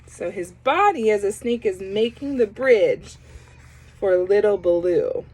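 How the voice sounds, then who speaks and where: A woman reading a children's picture book aloud, pausing to comment on the pictures. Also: mid-range voice, expressive, a little mellow, husky, poor audio. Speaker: storybook reader.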